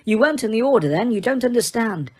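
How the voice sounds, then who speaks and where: A calm grown man, voiced by a woman reading aloud, steady and firm. He speaks soothingly, a touch higher than her narration. It's a storybook reading with a plain, gentle reassurance. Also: fast, slightly rough.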